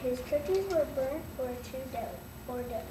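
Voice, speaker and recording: A child of about eight or nine narrating a story from a script, reading haltingly. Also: high-pitched, expressive, far from the mic. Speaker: narrator in a school readers' theater. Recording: echoey, noisy room from afar.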